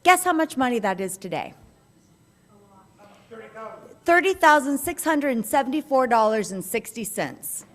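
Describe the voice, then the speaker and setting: A woman presiding over a club meeting, giving upbeat, brisk announcements. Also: a little high, animated.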